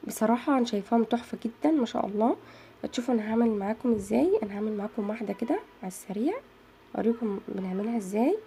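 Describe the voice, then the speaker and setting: A woman's voice: a little high, lively intonation, racing, dark tone, slight background noise. A woman with an Egyptian Arabic accent hosting a chatty craft tutorial and talking straight to her viewers. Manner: chatty, addresses viewers as girls. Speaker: craft channel host.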